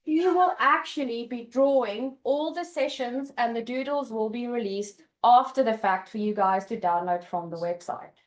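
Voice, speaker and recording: A woman's voice: fairly high pitch, normal speed, hardly any pauses. A woman organizer introducing the keynote speaker and announcing event details at a conference. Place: conference hall. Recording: echoey conference hall.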